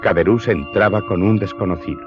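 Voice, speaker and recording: A man's voice: low voice, brisk, muffled recording, music in the background, natural voice. A man playing a wary smuggler who recounts his past as dramatic narration in a radio play. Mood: confiding.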